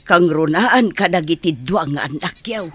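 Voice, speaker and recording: An actor in a radio drama playing a concerned older parent, husky, consoling and advising earnestly. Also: male voice, on the high side, expressive, normal speed, a bit bright. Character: concerned parent consoling an abandoned grown son.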